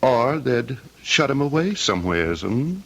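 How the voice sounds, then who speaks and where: A man's voice: mid-range voice, big pitch swings. An actor playing a cold, scheming heir in his thirties, menacing and mocking, in old-time radio drama style. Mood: probing, sly. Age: 30s. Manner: menacing, mocking, theatrically deliberate.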